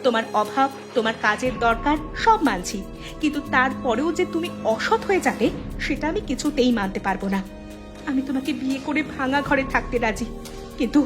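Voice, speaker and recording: A woman voicing a principled young woman in a cartoon, firm and reproachful. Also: high voice. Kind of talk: cartoon voice acting.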